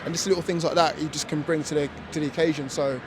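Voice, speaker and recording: A man's voice: fairly high pitch, fairly even intonation, fast talker. A man giving chatty football opinions outdoors, full of fillers and tag questions. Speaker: fan pundit. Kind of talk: casual football chat. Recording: outdoor traffic noise.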